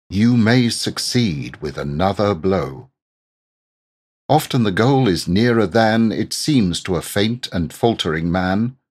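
A man reading inspirational verse aloud in a deliberate, earnest, exhorting manner. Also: deep voice, slightly rough, no echo, clean studio sound. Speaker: poetry reader. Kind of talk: measured inspirational poetry recitation.

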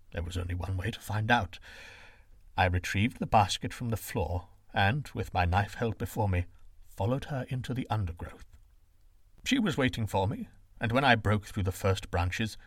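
A man voicing a kindly older shepherd father who speaks low, soft and measured. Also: big pitch swings, no echo, studio quality. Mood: grave.

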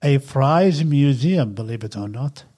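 Husky middle-aged father. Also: mid-range voice. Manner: relaxed, halting with fillers. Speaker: podcast host, father. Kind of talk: casual chat.

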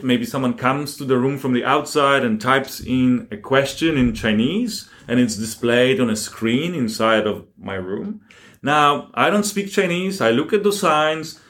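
A man speaking as an academic guest in an interview, explaining things deliberately and with emphasis. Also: medium pitch, a bit bright.

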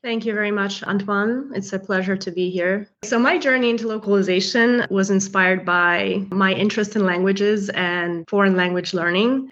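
A woman from Poland, a guest in a podcast interview, describing her career in a measured, articulate way. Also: mid-range voice, a bit bright. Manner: articulate, measured professional self-presentation. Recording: dull lo-fi audio.

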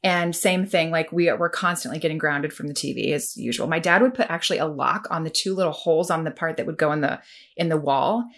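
A woman's voice: low voice, brisk. A woman hosting a casual chat, talking with enthusiasm about her childhood and about destiny. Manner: enthusiastic, reflective talker. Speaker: host.

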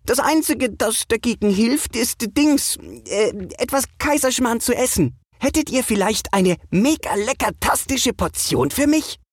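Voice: mid-range voice, expressive, a little slow, hardly any pauses, slightly rough, no echo, clean studio sound, pitched above the performer's own voice. A narrator voicing an eager, boastful young superhero who gushes and gets flustered and stammers, in a children's audio story. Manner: exuberant, stammering, invents gushing super-words.

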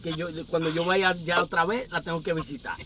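A man's voice: a little high, fairly even intonation, fairly quick, a crowd in the background. A man with an Ecuadorian Spanish accent that has a few Dominican touches, hosting a casual interview from inside his car and telling meandering stories. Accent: Ecuadorian Spanish with some Dominican touches. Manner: chatty, meandering storyteller. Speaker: podcast host. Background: faint street sounds.

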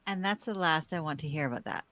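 A woman's voice: low-pitched, fast talker, a bit bright, no echo. A woman playing a composed, firm heroine of a mutant team in a live radio play. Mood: dry.

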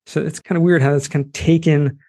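A man's voice: on the high side, fairly even intonation, fairly quick, dark tone. A man with a Boston accent hosting a podcast interview, chatty and rambling with lots of fillers. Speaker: podcast host. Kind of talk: casual interview chat.

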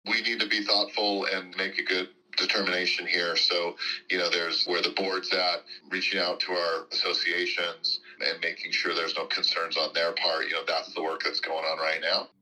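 American man, very low pitch, raspy, very sharp bright tone, unscripted interviewee, hedging official talk. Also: flat delivery, normal speed, poor audio. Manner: hedging, peppered with "you know". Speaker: school district official being interviewed.

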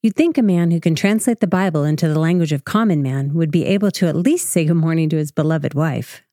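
An actress playing a hardworking middle-aged wife, fond and gently chiding, in a radio drama. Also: female voice, low voice, brisk, no room sound, studio quality, close to an everyday voice. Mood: wry, fond. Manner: fond, wry.

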